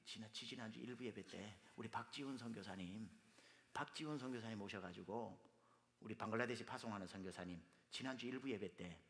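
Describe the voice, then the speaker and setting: A man preaching a sermon as pastor, his voice hoarse from dust, speaking emphatically. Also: lowish voice, a little fast, fairly bright tone. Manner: emphatic, with sung and quoted lines. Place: church sanctuary. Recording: long reverb of a hall.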